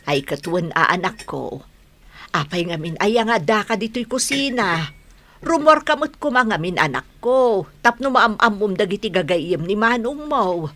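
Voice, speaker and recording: A woman's voice: medium pitch, animated, moderate pace, a bit bright. A woman acting a practical, anxious middle-aged mother of grown children in an Ilocano radio drama. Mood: motherly, coaxing.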